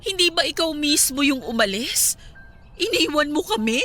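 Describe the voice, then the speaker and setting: A woman's voice: high voice, lively intonation, moderate pace, sharp tone. A woman with a Filipino accent playing a hurt, resolute young mother in a radio drama, firm and reproachful.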